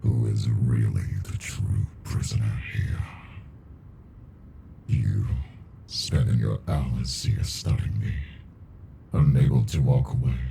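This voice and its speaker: A man voicing an ancient, arrogant captive alien in an ASMR monster roleplay, murmuring with slow, amused menace and condescension in a deep, gravelly voice. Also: warm tone, a little hiss or hum, heavily acted.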